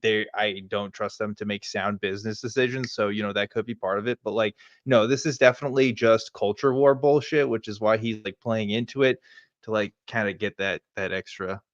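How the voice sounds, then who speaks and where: A man's voice: on the low side, fairly even intonation, brisk, dry recording. A man giving casual, informal commentary as a co-host, hedging often and peppering his talk with "like" and "right?". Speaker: show co-host. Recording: dull, band-limited dry audio.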